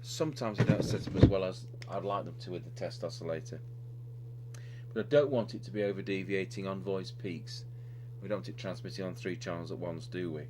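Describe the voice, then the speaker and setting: A man's voice: deep voice, brisk. A man giving an unscripted, hands-on technical demonstration, talking step by step and somewhat hesitantly as he works on a radio repair. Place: indoor radio repair workbench. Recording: clearly noisy recording with electrical hum.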